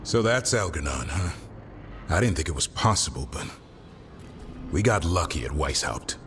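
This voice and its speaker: A stoic male warrior from a fantasy game. He speaks deep and measured, in laconic phrases. There is faint ambience behind him. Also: sing-song, fairly bright tone, a little hiss or hum, heavily acted. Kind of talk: video game cutscene acting.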